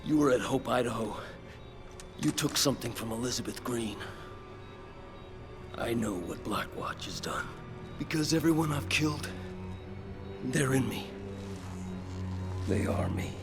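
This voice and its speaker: A man playing a brooding, vengeful shapeshifting antihero in a video game, speaking with deliberate, breathy menace. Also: medium pitch, music in the background, close to an everyday voice. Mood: quiet menace. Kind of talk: video game cutscene acting.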